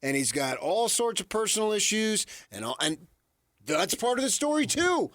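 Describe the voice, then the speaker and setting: High-voiced, animated male sports co-host. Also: normal speed, no room sound, studio quality. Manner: emphatic, repeats his points. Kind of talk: opinionated sports debate.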